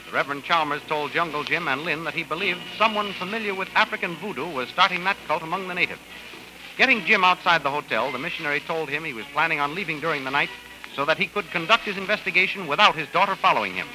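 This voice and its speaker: A man's voice: on the high side, expressive, fast, music in the background, rough recording. A man narrating a radio adventure serial as announcer, in a dramatic 1930s style.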